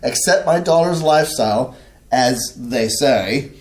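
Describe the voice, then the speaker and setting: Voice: medium pitch, lively intonation, unhurried, close to an everyday voice. An actor in a staged play reading playing a flustered, grumpy older father who sputters and halts indignantly. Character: flustered older father, grumpy small-town shoe seller.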